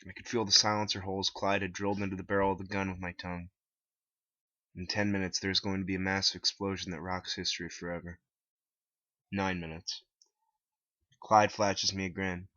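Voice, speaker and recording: Deep-voiced man narrating, bright and forceful. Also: little pitch movement, a little fast, pauses often, dry recording. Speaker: author reading his own story. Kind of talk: scripted dramatic narration. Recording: dull lo-fi, dry close recording.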